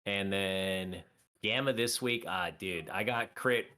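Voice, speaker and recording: Bright-voiced laid-back gaming guest. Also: male voice, sits low, slow pace. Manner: relaxed, laughs easily, swears casually. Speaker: streamer guest. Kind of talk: casual chat.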